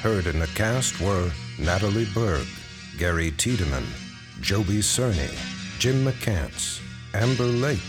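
A man's voice: on the low side, lively intonation, takes it slowly. A man giving scripted radio announcements and credits as a show announcer. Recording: music clearly behind the voice.

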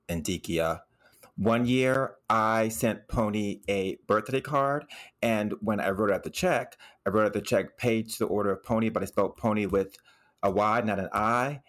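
A man's voice: lowish voice, a bit bright. A man co-hosting a playful interview chat, effusive and fond of emphatic repetition. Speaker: podcast co-host.

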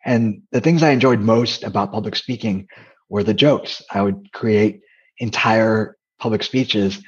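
Soft-voiced man with a husky tone. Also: lowish voice, measured pace, lo-fi sound. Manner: hesitant, with frequent restarts. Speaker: guest, dating coach and comedian. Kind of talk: reflective interview answers.

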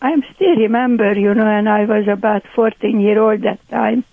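A woman in her eighties with a Hungarian accent, chatting on the phone as a guest, speaking broken English with soft laughs. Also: mid-range voice, moderate pace, gravelly, a little hiss or hum. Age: 80s. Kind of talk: casual phone interview. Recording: dry tinny phone line.

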